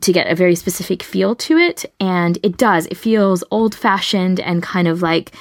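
A woman's voice: lowish voice, moderate pace, no echo, studio quality. A woman co-hosting a podcast, explaining her recommendations in a casual, conversational way. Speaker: podcast co-host.

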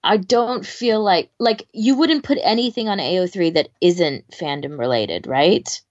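Low-voiced woman in casual discussion, slightly dull recording. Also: unhurried. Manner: tentative, thinking aloud with hedges. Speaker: podcast co-host.

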